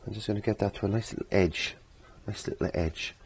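Croaky, low-voiced man teaching painting. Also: fairly even intonation, a little fast, a little mellow. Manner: rambling, self-talking, repeats phrases. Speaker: painting instructor. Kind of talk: casual step-by-step painting demonstration. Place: indoor home art studio.

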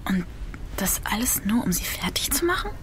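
A woman's voice: medium pitch, sing-song, moderate pace, in a whisper, natural voice. An actress playing a distressed young daughter in an audio drama, blunt and incredulous as she questions. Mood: shaken, incredulous. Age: young adult. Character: distressed young daughter demanding the truth about her mother. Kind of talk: German audio drama dialogue.

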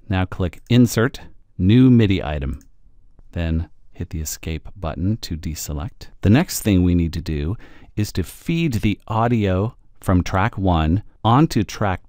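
A man presenting a software tutorial, explaining step by step in a conversational way with wry asides. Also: low voice, dry recording, studio quality.

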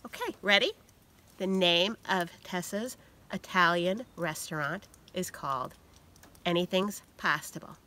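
A woman's voice: fairly low pitch, measured pace, bright, forceful tone. A middle-aged woman, a novelist, presenting her book and a trivia question to camera outdoors. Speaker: mystery novelist. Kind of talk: prepared book introduction to camera. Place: outdoors in snowy woods.

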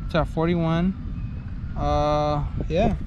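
Profane young man vlogging over loud wind. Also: a little high, steady tone, takes it slowly, lo-fi sound. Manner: loose, profane, slangy, thinking out loud. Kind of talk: casual chat to a handheld camera while riding. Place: outdoors on a sunny beachside street and park. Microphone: action camera at arm's length. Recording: loud wind and street noise outdoors.

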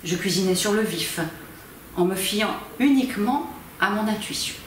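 A woman in her fifties reads a novel aloud with measured, expressive pauses. Her voice sits at a middle pitch and is picked up from a distance, so the room rings with heavy echo. A faint background noise runs underneath. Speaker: volunteer amateur reader. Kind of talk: theatrical reading of a novel. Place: small library corner, indoors. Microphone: camera at a distance.

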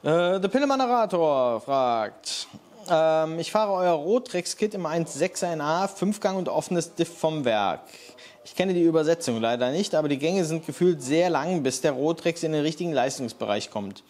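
A man's voice: a little high, animated, moderate pace, a little mellow. A man co-hosting a casual chat, reading out viewer questions and chiming in with short agreeing replies. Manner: reads questions aloud, short agreeing interjections.

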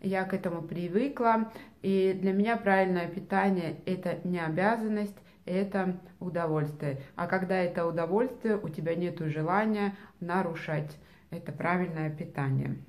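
A woman's voice: on the low side, normal speed, a little mellow. A woman in her thirties answering viewer questions to camera, speaking thoughtfully with many hesitations and filler sounds. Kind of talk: casual Q&A vlog. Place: small room indoors. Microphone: camera at arm's length.

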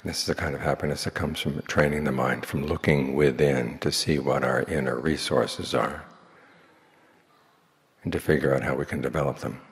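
A man speaking as a Buddhist monk, leading a guided meditation in slow, measured phrases with long pauses. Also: very deep voice, steady tone. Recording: long hall reverb.

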